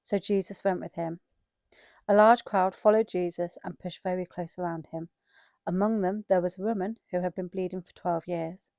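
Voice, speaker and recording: Female scripture narrator, lowish and very mellow, scripted storytelling, dry recording. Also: fairly even intonation, normal speed, creaky, no echo. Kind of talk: scripted dramatized Bible narration.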